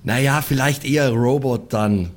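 A man's voice: medium pitch, moderate pace, close to an everyday voice. A man voicing a brash, cocky young woman who loves rock and swears and boasts in an improvised audio drama. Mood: thrilled.

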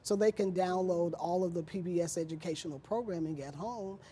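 A woman's voice: fairly low pitch, fairly quick, warm tone, slight background noise. A woman speaking as a nonprofit guest, explaining things conversationally in an interview.

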